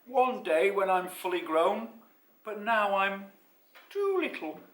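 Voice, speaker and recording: A man's voice: high voice, sing-song, slow pace, fairly bright tone, roomy sound, pitched above the performer's own voice, heavily acted. A man voicing a small, wistful, eager young male monster in a storybook.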